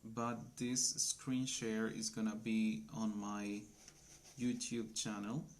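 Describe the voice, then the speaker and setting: A man in his thirties from Colombia teaches a live English class for beginners, talking very slowly and with a gravelly rasp. He keeps his words simple and chats with viewers by name. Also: on the low side, flat delivery. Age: 30s. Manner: slow, simple speech for beginners; chatty, greets viewers by name. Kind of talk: live online English lesson.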